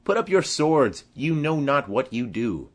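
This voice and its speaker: A man's voice: medium pitch, big pitch swings, a little slow. A man playing an earnest young nobleman and peacemaker, speaking formal Shakespearean lines. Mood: urgent. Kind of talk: Shakespearean audiobook acting.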